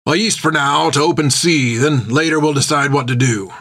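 Gruff seafaring captain for an audiobook, acted by a man: bossy, blunt, salty-tongued, raised pitch. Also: moderate pace, a bit bright. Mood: brusque. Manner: blunt, barking orders. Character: gruff, commanding, foul-mouthed male pirate captain.

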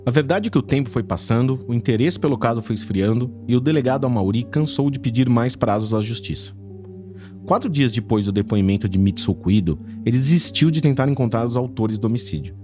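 A man narrates a true-crime story at a brisk clip, his voice dark and mellow. He lays out the investigation in a measured, reporter's manner. Faint music sits under him. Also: medium pitch.

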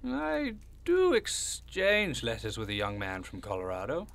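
A man's voice: medium pitch, huge pitch swings, measured pace, creaky, a bit bright, heavily acted. A man playing a genteel, fussy old goat-man monster in an audio drama, with prim, courtly, long-winded speech. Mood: fond, genteel.

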